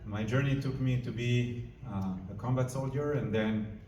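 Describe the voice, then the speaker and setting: A man's voice: mid-range voice, moderate pace, dark tone, muffled recording, slight background noise. A man, a diplomat giving an earnest, informal speech to a live audience in a large community hall. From France. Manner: earnest, informal, searching for words. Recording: roomy hall, mic at a distance.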